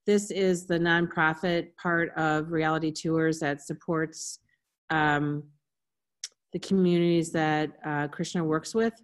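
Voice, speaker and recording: A woman hosting an online conference session, speaking over a video call. Her voice sits a little low, and her talk is chatty and loose, full of quick restarts and apologies. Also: steady tone. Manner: chatty, apologetic, restarts under tech trouble. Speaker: conference host. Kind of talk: casual video-call chat.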